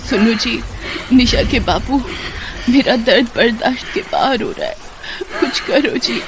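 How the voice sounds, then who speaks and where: A woman's voice: a little high, very animated, measured pace, noisy background, pitched above the performer's own voice. A woman voicing a poor, anxious pregnant village wife in pain, pleading in a moral-story cartoon. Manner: pleading, worried.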